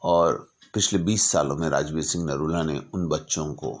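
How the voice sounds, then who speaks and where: A man narrating stories informally as an astrologer, speaking deliberately in short phrases broken by pauses. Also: very deep voice. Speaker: astrologer telling client stories.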